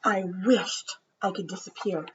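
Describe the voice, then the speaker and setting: A woman reading a picture book aloud as a storyteller for children, with expressive narration and deliberate, dramatic phrasing that drops into hushed softness. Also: medium pitch, highs cut off, background noise.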